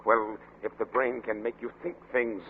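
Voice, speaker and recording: An actor playing an earnest, worried laboratory assistant in an imploring 1940s radio manner. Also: male voice, medium pitch, steady tone, moderate pace, husky. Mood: probing, uneasy. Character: earnest, worried male laboratory assistant pleading with his colleague. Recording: tinny, faintly noisy old radio recording.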